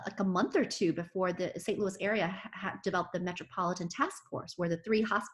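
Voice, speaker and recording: A woman physician giving an oral history interview, talking earnestly with many restarts and fillers. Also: medium pitch. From United States.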